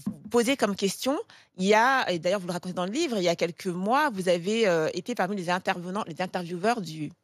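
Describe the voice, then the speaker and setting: A woman's voice: fairly low pitch, no echo, clean studio sound. A woman in her forties, a sports coach and panelist, questioning the guest in a chatty way. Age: 40s. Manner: fast, chatty, with restarts and laughs. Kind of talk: talk show questioning. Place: TV studio.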